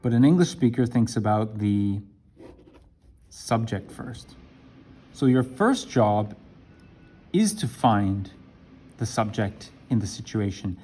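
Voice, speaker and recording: Man teaching English, slow deliberate delivery, mellow voice, "right?" check-ins. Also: fairly low pitch, lively intonation, slightly rough. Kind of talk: explaining a language lesson to camera.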